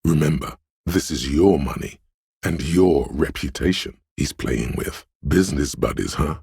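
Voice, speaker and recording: A man voicing a suave, wry inner voice of a detective in a video game, narrating in a measured, knowing way. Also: a bass voice, gravelly, darkish tone, slightly rough, no room sound, studio quality, heavily acted. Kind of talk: second-person game narration.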